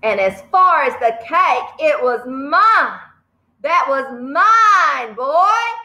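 A woman voicing a fierce, bullying headmistress from a children's story, slow, booming and sneering. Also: sing-song, sharp tone, roomy sound, full character voice. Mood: furious. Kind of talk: reading a children's book aloud.

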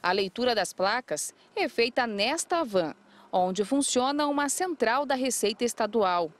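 A woman, reading a scripted news report in a crisp, even way. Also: on the high side, unhurried.

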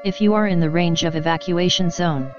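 A man's voice: on the high side, a little fast, lightly acted. A man voicing a radio station announcer who makes formal emergency announcements. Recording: dull radio sound with noise.

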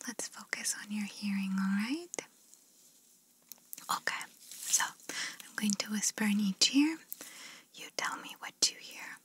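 A woman whispering slowly and softly as she plays an examiner in an ASMR roleplay, repeating gentle praise into a close binaural mic. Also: medium pitch, animated, slightly rough. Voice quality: whispering, mostly unvoiced. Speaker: ASMR creator playing an examiner.

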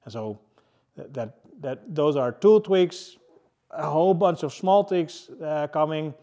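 Casting: presenter in his thirties, slightly raised voice, halting delivery full of fillers, audience room. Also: on the high side, animated, mellow. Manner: halting, many fillers and restarts. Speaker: engineering manager presenting. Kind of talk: casual tech meetup talk. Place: large room with a seated audience, indoors.

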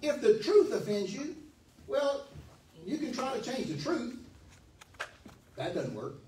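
Pastor, male, forties: high, lively, emphatic sermon delivery into a handheld mic, heard from across an echoey church hall. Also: slow pace, recorded from a distance, a little hiss or hum. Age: 40s. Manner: animated, emphatic, pacing the stage. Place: church hall, medium-sized, indoors.

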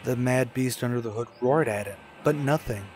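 An actor playing an anxious, obsessive motorist in a radio suspense drama, speaking dramatically and halting when rattled. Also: male voice, mid-range voice, a little mellow, a little hiss or hum, close to an everyday voice. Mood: haunted, brooding. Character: haunted cross-country motorist, man, anxious, increasingly obsessive. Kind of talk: old-time radio suspense drama acting, first-person narration and dialogue.

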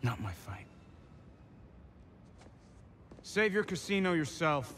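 An actor playing a cocky, defiant young street racer in a video game. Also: male voice, fairly high pitch, sing-song, normal speed, a little hiss or hum. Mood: dismissive. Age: young adult. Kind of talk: cinematic video game acting. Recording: loud music behind the voice.

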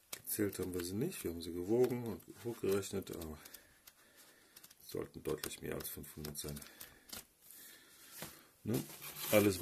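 A man's voice: low voice, creaky, a little mellow, slight background noise. A man with a cold, chatting casually as a stamp dealer showing a box of covers, peppering his talk with fillers and tag questions. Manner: chatty, filler-heavy, tags remarks with "ne?". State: has a cold. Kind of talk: casual product walkthrough. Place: indoors at a table.

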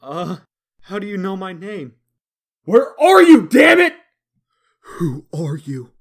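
Slimy office boss, acted by a man: cowardly, excitable, higher voice with big swings, comic-book villainy. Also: slow pace, creaky, heavily acted. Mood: rattled bluster. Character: sleazy, cowardly businessman, blustering when threatened. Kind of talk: comic dub voice acting.

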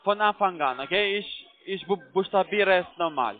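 A man's voice: high-pitched, moderate pace, faint music behind. A man with a non-native, foreign accent in German, working as a call center IT agent, giving step-by-step instructions and repeatedly checking that he is followed. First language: not German. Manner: repetitive, keeps checking with tag questions. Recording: tinny narrowband phone line.

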